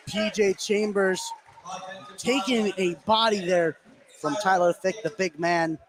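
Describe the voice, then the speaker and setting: A man's voice: sits high, fairly even intonation, slow, a crowd in the background, rough recording. A man doing live play-by-play basketball commentary as a radio announcer. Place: indoor gymnasium, courtside. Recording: clear background noise from a gym.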